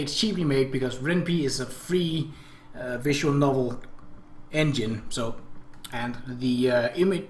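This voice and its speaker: Higher-voiced man hosting game playthrough, echoey room. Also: fairly bright tone. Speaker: gaming video host. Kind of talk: visual novel playthrough with narration and commentary. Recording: roomy echo, clear background noise.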